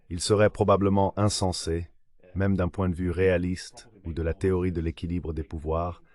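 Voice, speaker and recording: A man's synthetic voice reading translated political discussion as a measured voice-over. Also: low-pitched, a little mellow. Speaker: voice-over translator of interview guests. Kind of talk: dubbed political discussion, measured read delivery.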